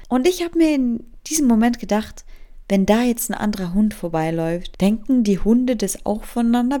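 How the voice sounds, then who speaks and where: A woman's voice: mid-range voice, a little mellow. A woman in her mid-twenties from Germany, hosting a casual solo podcast, rambling and self-mocking as she drifts from topic to topic and mixes in English phrases. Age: 20s. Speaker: podcast host.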